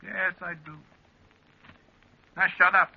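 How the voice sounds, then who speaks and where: A man's voice: high voice, little pitch movement, slow, creaky, breathy edge, exaggerated voice. An American actor in a 1940s radio drama playing a bitter, proud, sarcastic sideshow midget with slangy tough-guy patter. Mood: resigned, lovesick. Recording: muffled old radio recording.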